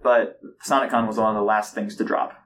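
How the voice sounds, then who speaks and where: A man's voice: fairly low pitch, fairly even intonation, brisk, fairly bright tone. Young man podcasting over noticeable background noise. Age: young adult. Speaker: podcast host. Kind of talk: casual podcast chat.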